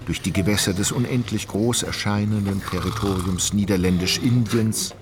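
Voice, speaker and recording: A man narrating a literary radio play in a measured, descriptive way. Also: fairly low pitch, steady tone, background noise, close to an everyday voice. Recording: clearly audible background ambience.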